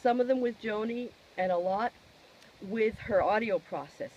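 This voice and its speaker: A woman giving a personal testimonial in an expressive, emphatic way, posing questions and answering them herself. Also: medium pitch, normal speed, dull audio, slight background noise.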